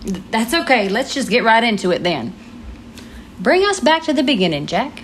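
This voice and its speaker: An actress playing a composed radio host in an audio drama, announcing in a polished, dramatic broadcast style. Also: female voice, fairly high pitch, very animated, moderate pace, slight background noise. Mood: composed, intrigued. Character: composed female radio host presenting a crime exclusive. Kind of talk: scripted radio broadcast presenting. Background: music.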